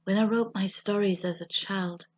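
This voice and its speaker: A woman with a German accent, a native German speaker, reads a literary personal essay in a measured, solemn, husky and slightly breathy voice. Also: medium pitch, fairly even intonation, a little mellow. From German-speaking Europe. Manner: measured, solemn, deliberate phrasing with pauses. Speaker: writer reading her own essay.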